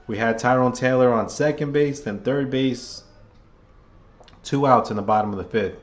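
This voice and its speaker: A man giving casual, chatty play-by-play for a live chat, seated at a table at home, sounding under the weather with a voice getting hoarse. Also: mid-range voice, moderate pace. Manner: chatty, hyped, keeps rallying the chat. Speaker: fan livestream commentator.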